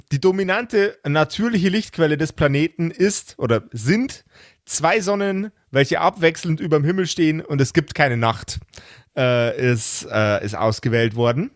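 A man's voice: on the high side, measured pace, dry recording, clean studio sound. A man talking casually and emphatically as a game master, often repeating words for emphasis. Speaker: podcast host and game master. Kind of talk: casual group discussion of a role-play campaign.

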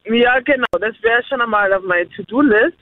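A woman's voice: a little high, brisk, no echo. A woman in a casual chat who rambles, repeats herself and giggles as she talks. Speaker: guest sharing her views. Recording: dry tinny phone-like sound.

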